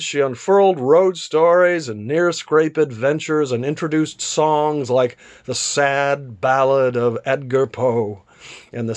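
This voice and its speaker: A man reading a literary story aloud as an author would, with a theatrical delivery. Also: medium pitch. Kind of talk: literary read-aloud, scripted narration.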